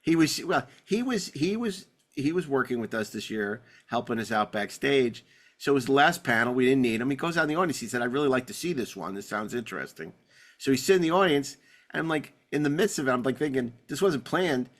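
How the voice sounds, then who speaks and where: Male host for TV-fan talk show: middle register, informal, halting, wandering storyteller. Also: medium pitch, lively intonation. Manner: halting, repeats words, rambling anecdotal delivery. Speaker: podcast host. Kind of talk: casual panel discussion of classic TV.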